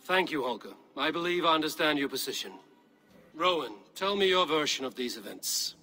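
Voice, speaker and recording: A man playing a stern, commanding Viking clan leader in a video game, speaking in a firm, measured, authoritative way. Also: on the high side, expressive, sharp tone, soft background music. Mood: stern, judicial. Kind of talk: dramatic video game acting.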